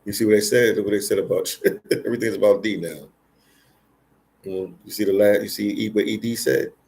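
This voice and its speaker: A man's voice: lowish voice, steady tone, a little fast, gravelly, a little mellow. A middle-aged American man hosting a casual livestream, reading viewer comments aloud in a laid-back, slangy, teasing way. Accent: American English.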